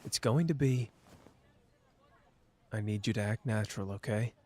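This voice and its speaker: A man's voice: on the low side, dark tone, natural voice. A man playing a wry, teasing young schemer. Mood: reassuring. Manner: dry, teasing, quick-witted, close and intimate. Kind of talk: ASMR listener roleplay.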